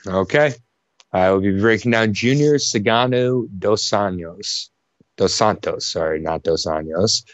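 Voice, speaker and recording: Fight-pick co-host, low male voice, dull dry lo-fi recording, rambling casual delivery. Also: measured pace, no room sound. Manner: rambling, many fillers and restarts. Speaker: co-host of a fight prediction show. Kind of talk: casual betting breakdown chat.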